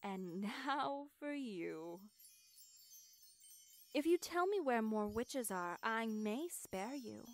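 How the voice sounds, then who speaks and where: A woman acts a cruel witch queen in a fantasy audio drama. Her voice is fairly high and she speaks slowly, savouring her power with haughty menace. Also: lively intonation. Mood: cold menace. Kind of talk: ASMR fantasy audio roleplay.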